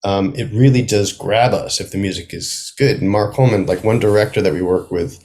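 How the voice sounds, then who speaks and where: A man talking casually as a playwright being interviewed, thinking aloud in a halting way. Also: lowish voice, a little mellow. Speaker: playwright guest.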